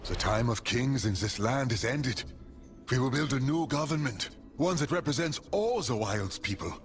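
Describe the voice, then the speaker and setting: A male actor plays an earnest rebel leader from a fantasy video game. He speaks formally and solemnly like an orator, with a mid-pitched voice that moves widely up and down. Faint music plays underneath. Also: expressive, normal speed, close to an everyday voice. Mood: resolute. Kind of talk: dramatic fantasy game dialogue. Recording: music behind the voice.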